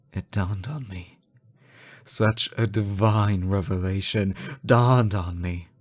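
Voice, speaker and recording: A man playing an obsessive, lovesick fallen angel in a dramatic audio roleplay, theatrical and halting, bitter and unhinged. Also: low-pitched. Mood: cold, menacing. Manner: theatrical, halting, sighing, swinging from hushed to frantic. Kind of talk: dramatic audio roleplay monologue addressed to a lover.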